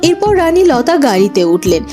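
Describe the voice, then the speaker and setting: Female storyteller, scripted fairytale narration, clear background music. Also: on the high side, very animated, brisk.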